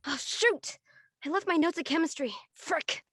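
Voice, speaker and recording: A woman's voice: extremely high pitch, moderate pace, a bit bright, no room sound, studio quality. A woman playing a stressed, studious, apologetic teenage schoolgirl in a drama read, speaking earnestly. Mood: flustered. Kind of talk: audio drama table read acting.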